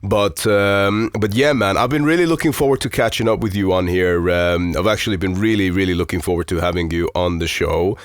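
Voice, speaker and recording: A man's voice: low-pitched, a little fast, few pauses, no room sound, clean studio sound. A man from Iran hosting a podcast, reading a scripted intro and then chatting casually with his guest, swearing freely and using lots of fillers. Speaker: podcast host.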